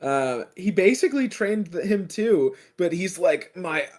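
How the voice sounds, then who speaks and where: A man's voice: high voice, big pitch swings, unhurried, a little mellow. A man on a podcast panel, talking in casual, slangy chat.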